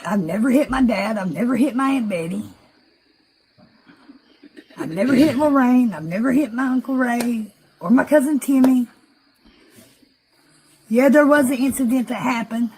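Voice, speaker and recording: A woman records a personal statement, speaking earnestly as she defends herself. Her voice is slightly high and a touch husky. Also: a little mellow, rough recording.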